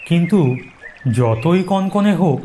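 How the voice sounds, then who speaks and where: A man narrating a horror story in the first person, dramatic and suspenseful, with deliberate pauses. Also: sits high, lively intonation, warm tone, a little hiss or hum. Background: music under the narration.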